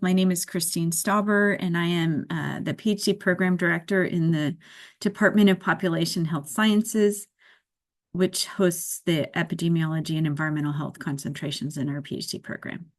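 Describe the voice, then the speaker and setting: A woman program director hosting an online open house, giving a measured, explanatory presentation to prospective students. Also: on the low side. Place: video call.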